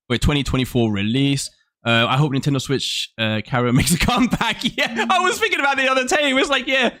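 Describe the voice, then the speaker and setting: A man's voice: medium pitch, bright, forceful tone. A man in his forties hosting a casual gaming chat show, rambling with lots of restarts and reading from the live chat. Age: 40s. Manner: rambling, many restarts, laughs often. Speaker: podcast host. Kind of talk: casual video game chat.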